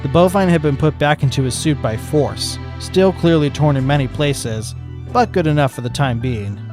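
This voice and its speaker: A man narrating a fan fiction chapter aloud in steady storytelling style. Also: on the high side, normal speed, music in the background.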